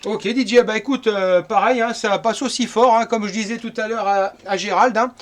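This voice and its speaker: High-pitched chatty man on CB radio. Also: fairly even intonation, moderate pace, slight background noise. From northern France. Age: 50s. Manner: chatty, rambling, repeats words. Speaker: amateur CB radio operator. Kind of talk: casual radio contact chat.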